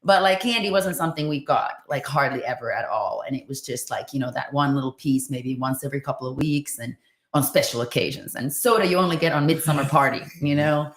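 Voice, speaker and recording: This is a woman from Finland with a low voice, chatting in a relaxed, rambling way. She uses lots of fillers and laughs easily. The sound is roomy and echoey. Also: a little fast. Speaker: guest running a school in Tanzania. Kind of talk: casual interview chat.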